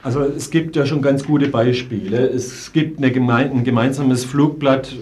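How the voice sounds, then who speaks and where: A man with a mid-pitched voice talks as a guest in a political interview. He is earnest and speaks off the cuff, often halting to find the right word. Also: steady tone, a little mellow, a little hiss or hum. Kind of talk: spontaneous political discussion.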